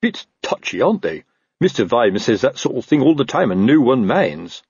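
A man voicing a slow-witted, self-important police officer in an audiobook, blunt and grumbling in colloquial speech. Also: mid-range voice, big pitch swings, darkish tone, breathy edge, no room sound, highs cut off, pitched above the performer's own voice, exaggerated voice. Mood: grumbling, affronted. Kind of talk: audiobook character voice.

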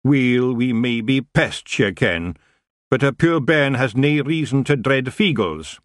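A man's voice: mid-range voice, animated, takes it slowly, no echo, clean studio sound, voice pushed up. A man voicing a tiny rowdy pixie warrior in a storybook, brash and pugnacious, with a Scottish accent. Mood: gruffly earnest. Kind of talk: audiobook character voice.

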